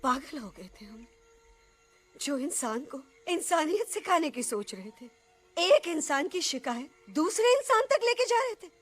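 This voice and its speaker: A woman voicing an anguished, protective mother leopard in an animated film, emphatic and emotional. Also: high-pitched, unhurried, faint music behind. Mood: bitter, distraught.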